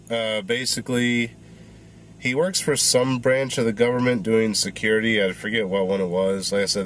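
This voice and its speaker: Congested man reviewing a movie. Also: lowish voice. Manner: rambling, filler-heavy. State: congested, recovering voice. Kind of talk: casual spoken review.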